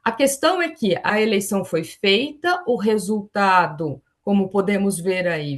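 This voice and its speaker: A woman with a Brazilian accent gives an academic presentation as a panelist, at a middling pitch. She explains step by step, often checking in with little confirming tags as she goes. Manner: explanatory, frequently seeking agreement with tags. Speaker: forum panelist.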